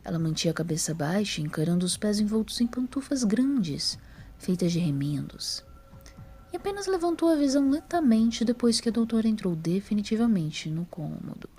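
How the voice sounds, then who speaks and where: A woman's voice: medium pitch, expressive, moderate pace, dark tone. A woman narrating a scripted story as the narrator of an audio drama.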